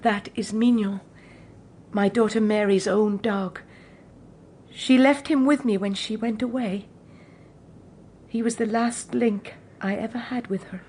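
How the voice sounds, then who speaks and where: A woman's voice: medium pitch, fairly even intonation, measured pace, long pauses, a little mellow, husky. An actress playing an anguished, devout old mother in a period audio drama, haunted by her own curse and given to whispers and cries. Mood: sorrowful.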